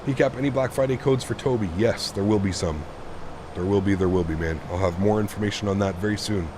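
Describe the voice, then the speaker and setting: A man with a deep voice, hoarse from being sick, casually chatting with viewers on a live stream while flying. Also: quick pace, background noise. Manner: relaxed, thinks aloud, reads and answers chat. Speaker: flight sim streamer.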